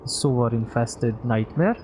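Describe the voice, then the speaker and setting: A man's voice: mid-range voice, slow pace, darkish tone, a little hiss or hum, poor audio. A man giving casual live video game commentary as a streamer, thinking aloud, muttering to himself and swearing freely. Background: music.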